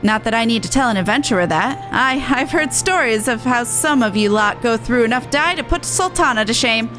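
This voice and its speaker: High, lively, earnest young tribeswoman voiced by a woman. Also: a little fast, over music, close to an everyday voice, low-quality recording. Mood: friendly, grateful. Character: earnest, dutiful young tribeswoman and resistance veteran. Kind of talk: video game dialogue read aloud.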